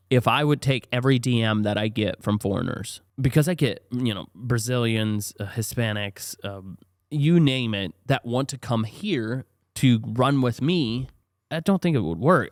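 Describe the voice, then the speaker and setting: A man's voice: mid-range voice, no echo, clean studio sound. A man from Ohio co-hosting a podcast, talking in a loose, rambling way and restarting his thoughts as he goes. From Ohio, USA. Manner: rambling, thinks aloud with restarts. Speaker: podcast co-host. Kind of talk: casual chat.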